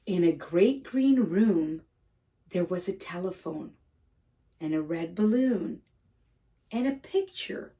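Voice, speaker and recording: Female children's story reader, soft mellow tone, expressive melody, addresses little listeners directly, slightly distant mic. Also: on the low side, slow, long pauses. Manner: addresses young children directly, simple gentle phrasing. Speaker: kindergarten read-aloud teacher and host. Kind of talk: children's picture book read-aloud.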